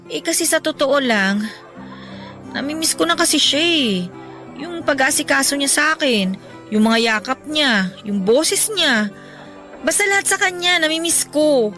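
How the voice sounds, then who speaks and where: A woman's voice: high voice, lively intonation, a little slow, long pauses, bright, forceful tone, faint music behind, full character voice. A woman with a Filipino accent playing a stressed young breadwinner who confides her heartbreak plaintively in a Tagalog radio drama. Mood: wistful, burdened.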